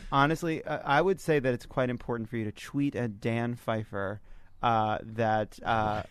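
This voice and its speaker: A man's voice: mid-range voice, fairly even intonation, normal speed, smooth. A man hosting a comedic panel podcast, bantering with wry, teasing sarcasm. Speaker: podcast host.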